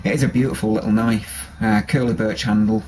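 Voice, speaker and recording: Low-voiced man talking through a knife. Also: fairly quick, slightly rough, low-quality recording. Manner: relaxed, hesitant with many ums and restarts. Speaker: bushcraft hobbyist showing a knife. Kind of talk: casual show-and-tell. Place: workshop with a grinder and workbench.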